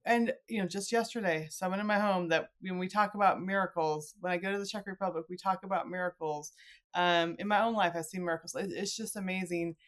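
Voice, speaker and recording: A woman's voice: on the low side, steady tone, brisk. Middle-aged woman chatting as podcast host. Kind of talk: casual interview chat.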